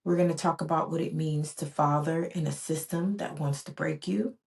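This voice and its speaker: A woman's voice: sits low, fairly even intonation, moderate pace, creaky, a little mellow. A woman in her forties, born in Barbados and raised in the US, hosting a reflective solo podcast in an earnest, rhythmic way with repeated emphatic phrases. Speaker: podcast host.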